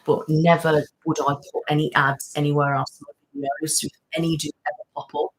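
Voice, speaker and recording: A woman's voice: sits low, little pitch movement, brisk, rough recording. A middle-aged British woman, an ASMR channel host, chatting casually on a live stream and answering viewers, rambling with many fillers. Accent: British English.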